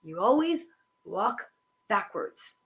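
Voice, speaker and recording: A woman hosting a children's story time, reading picture books aloud with chatty asides in a sing-song, animated way. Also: mid-range voice, slow pace, a little hiss or hum.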